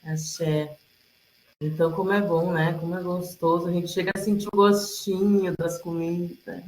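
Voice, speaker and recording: A woman, telling stories casually and haltingly over a video call. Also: on the low side, level tone, a little mellow. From Rio Grande do Sul, Brazil. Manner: halting, often checks in with listeners. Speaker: community elder and presenter at a cultural gathering. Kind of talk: casual oral storytelling. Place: online video call, roomy indoor space. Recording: roomy echo on a video call.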